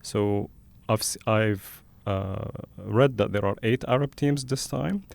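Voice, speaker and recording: A man hosting a conversational interview, speaking in a thoughtful, halting way as he frames questions. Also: fairly low pitch, creaky, darkish tone. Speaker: podcast host and interviewer.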